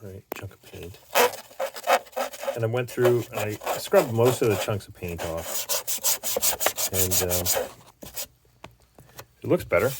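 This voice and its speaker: A man's voice: on the low side, very noisy. A man from Canada giving a rambling, casual show-and-tell about restoring an old tape machine, stopping often with fillers and restarts. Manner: rambling, halting, lots of fillers.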